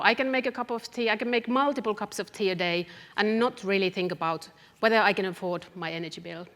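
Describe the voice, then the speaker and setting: A woman from Finland with a Finnish accent gives a deliberate public talk as a researcher, addressing her listeners directly and stressing key phrases. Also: medium pitch, a bit bright. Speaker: academic researcher, public speaker. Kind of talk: public talk to a live audience. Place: event hall, live talk. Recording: echoey hall with long reverb.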